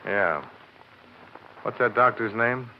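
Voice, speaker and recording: An American actor playing a cool, wry insurance investigator in clipped 1950s radio drama style. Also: male voice, medium pitch, slow, a little hiss or hum. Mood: probing. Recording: muffled 1950s radio recording.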